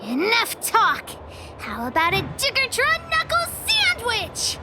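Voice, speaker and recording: A woman's voice: very high-pitched, wildly swooping pitch, slow pace, very noisy, exaggerated voice. A woman voicing a brash, stubborn little girl adventurer in a video game, blunt and bossy. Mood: bossy, combative. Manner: blunt, bossy, refers to self in third person. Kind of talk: animated video game voice acting.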